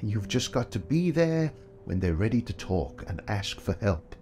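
A man plays a kindly photographer with a low, soft voice that drops almost to a hush. He talks slowly and thoughtfully. Faint background ambience sits under the voice. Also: sing-song, a little hiss or hum. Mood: gentle. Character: kindly adult male photographer, gentle and reflective. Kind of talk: audio drama acting.

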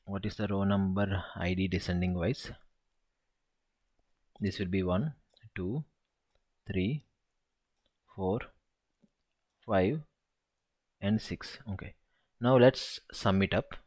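A man's voice: deep voice, slow, pauses often, darkish tone. A man tutoring SQL in a screen-recorded walkthrough, thinking aloud and counting through each step.

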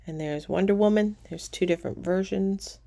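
A middle-aged woman casually narrating a walking tour of a cruise ship casino, relaxed and pointing things out as she goes. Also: mid-range voice, normal speed, gravelly.

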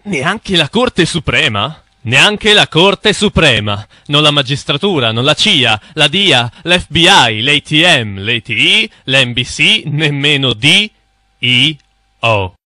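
Condescending, cynical cartoon know-it-all, bright-voiced. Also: male voice, fairly high pitch, sing-song, slow pace, dry recording, studio quality, heavily acted. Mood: emphatic. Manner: didactic, condescending, emphatic. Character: cynical, know-it-all man lecturing his naive friend. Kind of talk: animated explainer cartoon dialogue.

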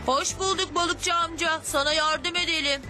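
A woman's voice: very high-pitched, fairly even intonation, moderate pace. A woman voicing a clever, self-assured young child in a children's cartoon. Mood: eager. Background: music.

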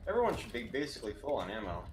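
A man's voice: mid-range voice, sing-song, racing, roomy sound, over music. A man in his thirties streaming a game from home on a headset, making dry, wry remarks as he plays. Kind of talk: casual gaming chat.

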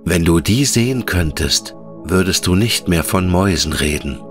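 A man voicing a wise, gentle female elf in a fairy-tale audiobook, speaking in a measured, patient and tender way. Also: deep voice, expressive, over music. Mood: solemn, gentle. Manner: measured, patient, lyrical explaining.

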